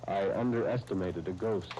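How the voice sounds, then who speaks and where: Actor as an earnest low-voiced young husband. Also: male voice, normal speed, highs cut off, natural voice. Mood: brooding, resigned. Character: earnest, long-suffering young husband Chris, hurt but devoted. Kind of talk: old-time radio drama acting. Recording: noisy old radio drama recording.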